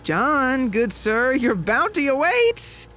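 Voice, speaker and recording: A man's voice: very high voice, huge pitch swings, slow, pushed and bright, voice pushed up, full character voice. A man voicing a murderous, gleefully polite villain in an audio horror story, grandiose and taunting in his courtesy. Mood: gloating, mock-courteous.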